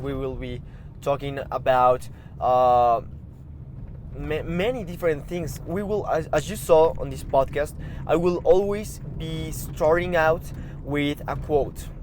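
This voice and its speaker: A man's voice: a little high, darkish tone. A man from Mexico with a Mexican Spanish accent, a non-native English speaker hosting a motivational podcast, earnest and urging, often repeating and restarting words. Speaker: podcast host. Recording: background street noise with passing cars.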